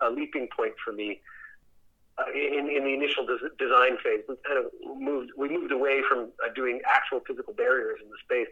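Male interview guest, stage director type, mid-pitched and bright, searching conversational delivery, slightly muffled lo-fi recording. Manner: halting, reflective, with repeated words and trailing restarts.

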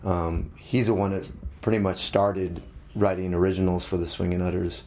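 A middle-aged musician being interviewed, talking casually with many halting restarts. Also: male voice, low voice, level tone, darkish tone, a little hiss or hum.

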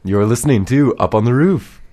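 A man's voice: medium pitch, moderate pace, warm tone. A man, co-hosting a morning radio show in a casual, chatty style. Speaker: radio co-host.

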